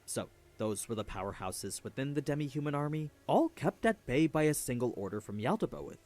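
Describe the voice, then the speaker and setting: A man's voice: medium pitch, very animated, fairly quick, darkish tone. A man giving a scripted story recap as a video narrator.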